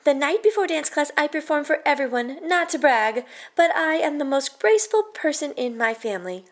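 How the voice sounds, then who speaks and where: A woman's voice: high-pitched, expressive, moderate pace, pitched above the performer's own voice. A woman voicing a dramatic, fancy-talking little girl in a children's storybook, with theatrical emphasis. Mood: proud.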